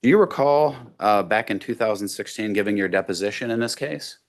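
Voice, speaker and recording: Brief: very low-voiced male lawyer, methodical cross-examination on a video call. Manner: measured, methodical questioning. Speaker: attorney.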